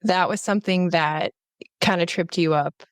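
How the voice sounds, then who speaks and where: A woman's voice: fairly low pitch, normal speed, dry recording, clean studio sound. A woman hosting a podcast, interviewing her guest in a casual, conversational style.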